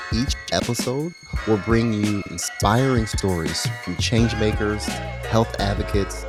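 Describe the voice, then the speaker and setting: A man reading a scripted show introduction in a low, measured voice. Music plays clearly beneath him. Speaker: announcer.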